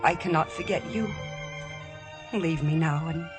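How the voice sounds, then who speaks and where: Gentle young woman, music behind. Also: low voice, a little slow. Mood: wistful. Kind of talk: 1950s radio drama acting. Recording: vintage 1950s radio broadcast.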